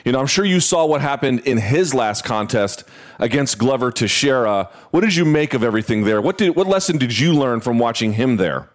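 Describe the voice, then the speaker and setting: A man hosting a podcast interview, conversational and quick to exclaim in amazement. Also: medium pitch, lively intonation. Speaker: podcast host.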